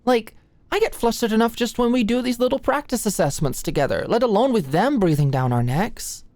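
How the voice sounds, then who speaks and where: A woman's voice: on the high side, expressive, a little fast, darkish tone, no echo, close to an everyday voice. A young woman acting an anxious, flustered medical student and study buddy in an ASMR roleplay, rambling and stammering apologetically. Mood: nervous, stressed.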